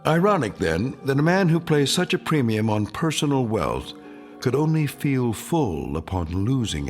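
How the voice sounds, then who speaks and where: A grave male storyteller narrating an uncanny radio drama. His voice is fairly low, with lively, sweeping intonation and an ironic, portentous manner. There is some music behind him. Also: creaky, full character voice. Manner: measured, portentous.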